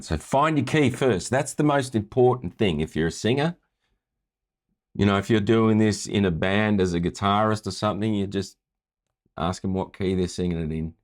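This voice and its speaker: A man with a low voice talking casually as a music tutor, walking through a screen-share tutorial and often checking in with "right?".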